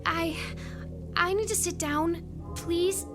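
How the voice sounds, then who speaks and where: Shaky, very high-voiced young woman. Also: fairly even intonation, takes it slowly, music in the background. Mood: strained. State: breathing hard. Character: shaky, pregnant young woman putting on a brave face. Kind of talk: radio drama acting.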